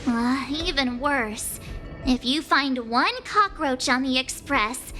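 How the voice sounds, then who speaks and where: Perky, very high-voiced young sidekick. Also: female voice, slow, bright, forceful tone, full character voice. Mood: dismayed. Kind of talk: animated video game acting. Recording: music behind the voice.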